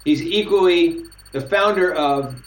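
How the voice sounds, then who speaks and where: A man's voice: fairly high pitch, a little slow, slight background noise. A man hosting a podcast interview in a conversational way, introducing and prompting in an echoey room. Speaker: podcast host. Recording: roomy, echoey voice recording.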